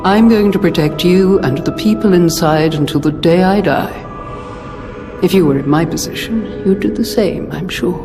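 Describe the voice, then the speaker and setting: Woman acting dignified older guardian, protective, genteel, measured and solemn, game cutscene, long reverb, music behind. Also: fairly low pitch, close to an everyday voice. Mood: resolute, devoted. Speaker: video game character. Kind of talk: scripted game cutscene dialogue.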